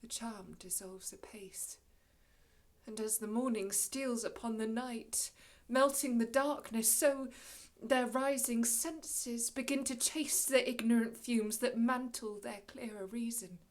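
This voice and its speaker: A solemn, commanding aging sorcerer played by a woman delivers a classical Shakespearean monologue. The voice sits fairly high and moves very slowly, with weighty pauses and a measured, theatrical shaping of each phrase. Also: fairly even intonation, natural voice. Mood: solemn, tender. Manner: measured, dramatic, long pauses, swings from hushed to declaiming.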